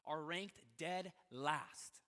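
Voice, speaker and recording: A man's voice: fairly high pitch, very slow, sharp tone. A man preaching a sermon as a pastor, talking in a conversational but emphatic way with rhetorical questions. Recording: reverberant hall.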